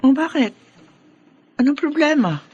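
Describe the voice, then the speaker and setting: An actress playing a chirpy, inquisitive aunt in a Filipino radio drama. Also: female voice, high voice, expressive, measured pace, a little mellow, muffled recording. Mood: surprised, curious.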